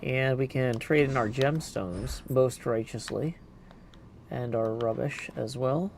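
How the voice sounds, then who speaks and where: A man's voice: medium pitch, takes it slowly, darkish tone. A man giving casual live commentary as he streams a video game. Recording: clear background noise.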